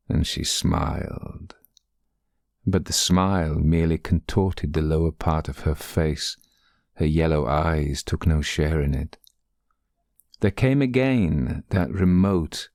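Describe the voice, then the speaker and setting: A man narrating a literary ghost story in a deliberate, dramatic storytelling manner. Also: very deep voice, creaky, darkish tone, no echo, clean studio sound.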